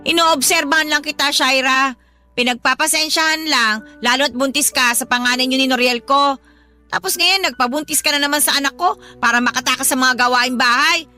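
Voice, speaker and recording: Radio drama role: middle-aged Filipino mother-in-law, scornful scold, piercing high voice, accusatory. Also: a little fast, bright, forceful tone, soft background music. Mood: mocking, irritated.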